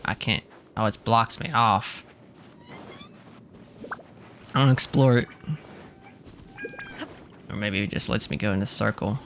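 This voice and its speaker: Casual gravelly man commenting on a game. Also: fairly low pitch, normal speed, a bit bright, a little hiss or hum. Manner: informal, joking, bro-ish slang. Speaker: game streamer.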